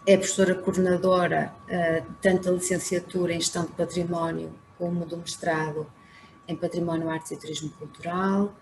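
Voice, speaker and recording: Gravelly-voiced Portuguese woman moderating a panel. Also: lowish voice, little pitch movement, brisk. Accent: European Portuguese. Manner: hesitant, many fillers. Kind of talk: speaker introduction. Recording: faint background noise.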